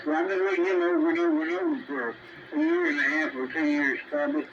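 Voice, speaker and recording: A man's voice: high voice, wildly swooping pitch, fast talker, gravelly. A man with a Tennessee Southern accent chatting informally and talkatively over a CB radio. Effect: two-way CB radio transmission. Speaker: CB radio operator. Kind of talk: casual radio chat. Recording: muffled, distant, very noisy CB signal.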